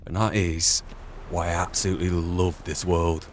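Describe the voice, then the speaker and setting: A man's voice: deep voice, flat delivery, normal speed, slight background noise. A man giving reflective, musing commentary as he plays a role-playing game. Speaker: gaming channel host.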